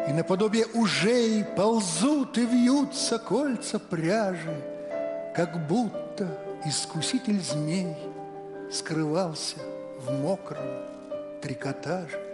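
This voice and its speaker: An older man reciting a poem slowly and dramatically, then introducing an award winner from a large stage. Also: high voice. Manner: slow dramatic recital, then brisk announcing. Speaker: award presenter. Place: large concert hall stage. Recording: echoey hall with music under it.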